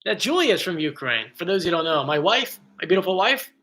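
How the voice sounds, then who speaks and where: A man's voice: high-pitched, very animated, brisk, bright, forceful tone. A man hosting a casual live stream from home, chatty and joking, easily drifting off topic. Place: home, bedroom area. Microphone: computer mic.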